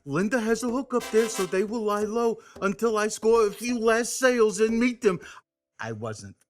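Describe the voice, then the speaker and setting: Whiny, high-voiced small-time crook played by a man. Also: lively intonation, moderate pace. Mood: sheepish. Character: whiny, foul-mouthed small-time male criminal, childish when tempted. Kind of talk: audio drama dialogue. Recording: music behind the voice.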